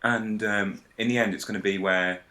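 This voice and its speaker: A man in a casual podcast discussion, explaining his ideas in a hesitant, halting way. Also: fairly low pitch, fairly even intonation, sharp tone. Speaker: podcast panellist.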